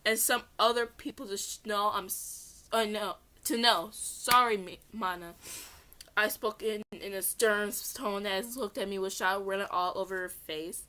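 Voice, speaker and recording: A woman's voice: mid-range voice, steady tone, a little slow, sharp tone. A woman reading a story aloud as an amateur, giggly, often stumbling over words and correcting herself. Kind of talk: read-along narration with character voices.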